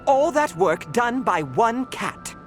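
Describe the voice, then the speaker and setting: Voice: medium pitch, big pitch swings, slow, over music. An actor voicing a fussy, proud male cat butler in a video game, speaking in a pompous, formal and theatrical way. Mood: indignant, proud.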